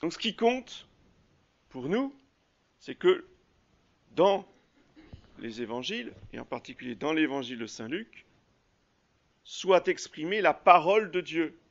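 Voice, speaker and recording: A man preaching a sermon as a priest, halting often with fillers and restarts. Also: on the high side, sing-song, lo-fi sound. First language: French.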